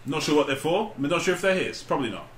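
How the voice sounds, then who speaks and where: A man's voice: fairly high pitch, sing-song, fast talker. A man co-hosting a podcast, chatting casually and comically. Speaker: podcast co-host. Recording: echoey room with faint noise.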